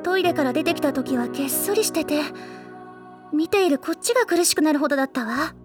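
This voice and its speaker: A woman voicing a gentle teenage schoolgirl who recounts a childhood friend's story softly and earnestly. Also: sits high, animated, quick pace, faint music behind. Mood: worried. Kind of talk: anime drama CD acting.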